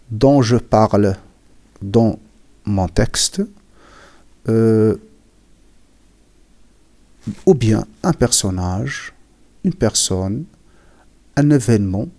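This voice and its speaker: A man teaching writing, slowly defining and repeating words, with a cold that makes him clear his throat. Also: medium pitch, a little mellow. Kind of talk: slow classroom explanation.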